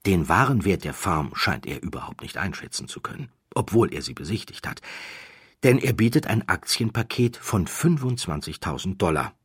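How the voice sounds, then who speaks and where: A man narrating an audiobook as a dramatic storyteller, with deliberate, emphatic phrasing. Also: low-pitched, very animated, slightly rough, no room sound, studio quality. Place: dry studio.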